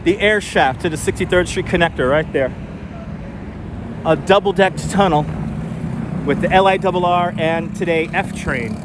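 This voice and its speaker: A man's voice: on the high side, moderate pace, pauses often. A man with a mild New York accent hosting a casual walking live stream outdoors, talking to viewers with lots of fillers and restarts on a clip-on mic. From New York City. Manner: chatty, thinks aloud with fillers and restarts. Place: outdoors on city streets. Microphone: wireless clip-on mic. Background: faint street traffic.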